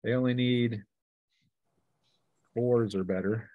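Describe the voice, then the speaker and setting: A man's voice: mid-range voice, steady tone, takes it slowly, no echo, narrow, tinny audio. A man acting as game master, casually narrating a tabletop game in a playful way, with spoken sound effects.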